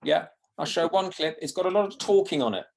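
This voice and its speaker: A man, answering questions after a lecture in a relaxed, unhurried way. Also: sits high, gravelly, crowd noise. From London. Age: 50s. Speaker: artist giving a lecture.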